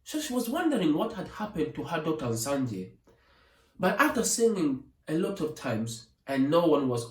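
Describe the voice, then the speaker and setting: A man with a Ugandan accent tells a folktale informally as a storyteller, pausing to explain and translate as he goes. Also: fairly high pitch. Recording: echoey room, distant from the mic.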